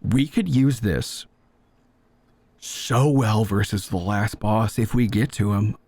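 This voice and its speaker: A man's voice: lowish voice, slow, a little mellow. A man giving casual video game commentary, playfully thinking aloud and repeating his phrases. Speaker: gaming video host.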